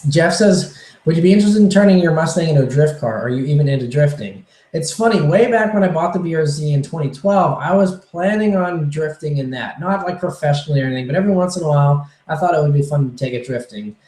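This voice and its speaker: A man answering viewer questions on a casual livestream, rambling and relaxed with many fillers, his voice a little hoarse. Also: on the high side, fast talker, roomy sound, rough recording. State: slightly hoarse, losing his voice.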